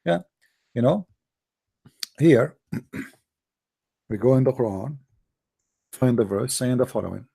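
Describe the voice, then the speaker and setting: Gravelly, tired-voiced man debating callers. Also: medium pitch, expressive, takes it slowly, pauses often, warm tone. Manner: combative, repeats "my friend", frequent throat clearing. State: tired, dry voice. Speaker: host of an online call-in debate. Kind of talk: argumentative call-in debate.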